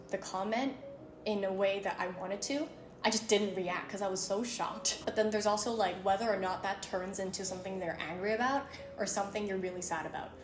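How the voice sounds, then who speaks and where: A woman's voice: mid-range voice, reverberant, a little hiss or hum. A woman chatting casually and thoughtfully as a co-host, with many fillers. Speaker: co-host of a beauty channel talk. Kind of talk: casual chat.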